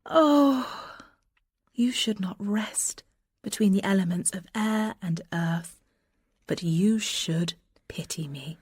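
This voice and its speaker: An actress playing a bold, passionate young woman disguised as a gentleman messenger, speaking verse earnestly and lyrically in a Shakespearean radio drama. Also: medium pitch, slightly rough, no echo, studio quality. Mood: passionate pleading. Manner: earnest, lyrical, deliberate delivery.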